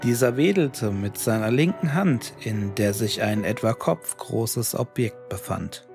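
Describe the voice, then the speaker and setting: A man reading a scripted horror story in a measured, deliberate way. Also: on the low side, music in the background. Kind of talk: scripted creepypasta narration.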